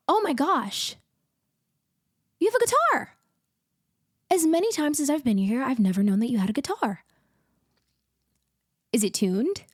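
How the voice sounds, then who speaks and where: A woman playing an upbeat, teasing, affectionate young best friend in a casual, playful and expressive ASMR roleplay, speaking one side of a conversation. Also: high-pitched, a little slow, long pauses, no echo, clean studio sound. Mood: teasing, surprised.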